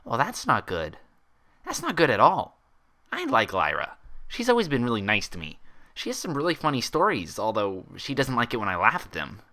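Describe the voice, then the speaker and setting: A man voicing an excitable, childlike female pony who gushes eagerly in a story reading. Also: mid-range voice, normal speed, heavily acted. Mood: worried. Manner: gushing, pleading, eager.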